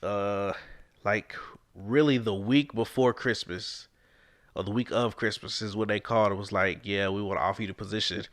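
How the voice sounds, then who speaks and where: A man's voice: fairly low pitch, steady tone, moderate pace, no room sound, clean studio sound. A man, hosting a casual podcast with a stuffed-up, congested nose, earnest and encouraging and sliding into preacher-like exhortation. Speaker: podcast host.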